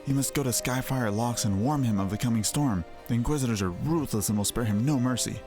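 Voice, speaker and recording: Man voicing a grave, confiding knight, medium pitch. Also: fairly quick, natural voice. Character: earnest, loyal male knight, secretive and grave. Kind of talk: voiced reading of fantasy game dialogue. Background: music.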